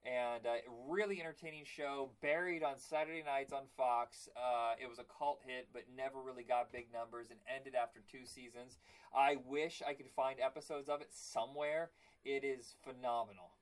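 Raspy-voiced man, animated storyteller. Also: medium pitch, normal speed, poor audio. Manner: animated storytelling, acts out quotes. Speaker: podcast host. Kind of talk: casual chat.

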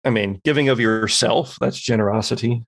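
A man works as game master, narrating a tabletop horror game. His voice is creaky and slightly low-to-middle in tone, recorded close and dry. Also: a little fast, dry recording.

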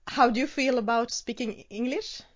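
A woman with a Swedish accent, a native Swedish speaker hosting a casual podcast interview, asking brisk short questions and chatting between them. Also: a little high. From Sweden. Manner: brisk one-word questions, then chatty. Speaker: podcast host. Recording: dull lo-fi sound.

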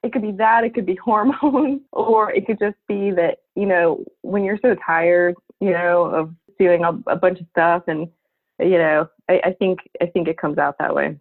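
Lowish voiced woman, 40s, rambling with um's and uh's, sounds like a phone line. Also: steady tone, moderate pace. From Long Island, New York. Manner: rambling, frequent fillers and laughs. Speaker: guest. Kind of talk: casual interview chat.

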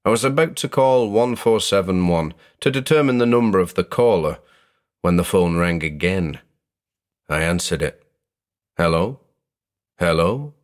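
A man narrating a first-person horror story in a measured, dramatic way. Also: deep voice.

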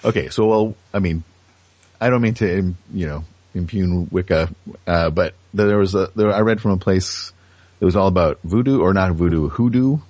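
Muffled dry audio, man, husky low voice, casual talk, hesitant phrasing. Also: moderate pace, darkish tone, dry recording. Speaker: podcast host as guest. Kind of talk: casual conversation. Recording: dull lo-fi audio.

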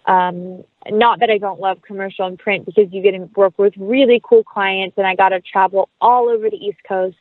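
A woman's voice: mid-range voice, steady tone, normal speed, few pauses, dry recording. Effusive American woman, slightly dull dry recording. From North Carolina, United States. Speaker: guest actress. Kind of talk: casual interview conversation.

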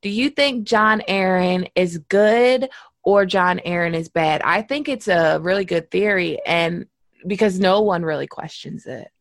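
A woman co-hosts a podcast in animated, easy chat, laughing often. Her voice has a gravelly fry. The sound is close and dry. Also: lowish voice, measured pace, no echo. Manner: animated, laughing, reads passages aloud. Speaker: podcast co-host. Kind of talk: casual chat.

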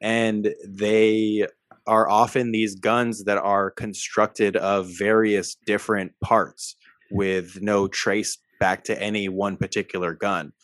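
A young adult man co-hosting a podcast, talking in an animated, emphatic way and often tagging points with "right?". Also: lowish voice, slow pace, no echo. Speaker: podcast co-host. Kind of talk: casual political discussion.

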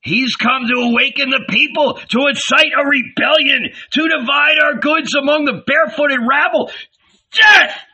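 A man's voice: extremely high pitch, measured pace, pushed and bright, pitched above the performer's own voice, exaggerated voice, rough recording. A man voicing rabid, greedy old townsmen who howl and shriek in an audiobook. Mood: rabid fury. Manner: howling, shrieking.

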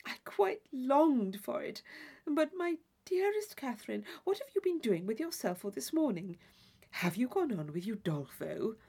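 A woman's voice: on the high side, sing-song, measured pace, vocal fry, darkish tone, breathy edge, exaggerated voice. A woman voicing a gushing, theatrical young society lady in a classic novel reading, effusive, affected and intense. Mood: gushing, chatty.

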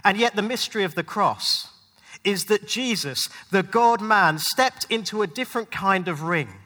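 Male preacher, forceful bright tone, rhetorical sermon with weighty pauses, recorded in a big echoing hall. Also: sits high, lively intonation. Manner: rhetorical, emphatic, with dramatic pauses. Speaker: pastor, guest preacher. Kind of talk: sermon to a live congregation. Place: large hall at a live church event. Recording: long hall reverb.

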